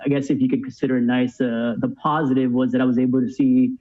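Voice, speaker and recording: A man's voice: medium pitch, flat delivery, quick pace, warm tone. Podcast guest: man, thirties, earnest and conversational, slightly dull recording. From born in Cuba, moved to the USA as a child. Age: 30s. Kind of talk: casual conversational interview.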